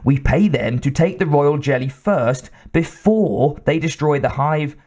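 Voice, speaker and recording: A man's voice: a little high, unhurried, darkish tone. A man voicing a nervous little male scientist who stammers, with a nasal twang and a slightly raised voice. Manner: stammering, timid.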